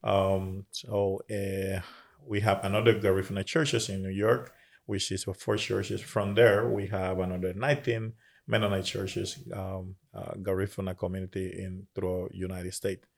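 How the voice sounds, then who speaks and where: A pastor from Honduras, answering interview questions in a halting way with many pauses. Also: male voice, deep voice, little pitch movement, vocal fry. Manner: halting, many fillers and restarts.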